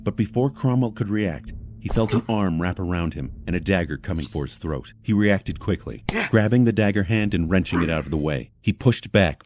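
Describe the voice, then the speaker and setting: A man with a deep voice narrates a fantasy audio drama. He reads from a script like a storyteller, measured and dramatic. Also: background noise.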